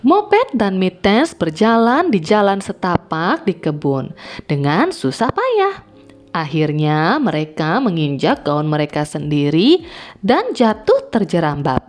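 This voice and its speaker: A woman narrating a scripted fairy tale for children in an expressive, deliberate read-aloud manner. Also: fairly low pitch. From Indonesia. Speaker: children's storyteller. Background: music.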